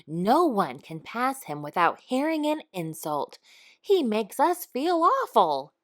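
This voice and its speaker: A woman voicing an excitable male scorpion who exclaims and explains earnestly in a storybook read-aloud. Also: fairly high pitch, slow pace, pitched above the performer's own voice.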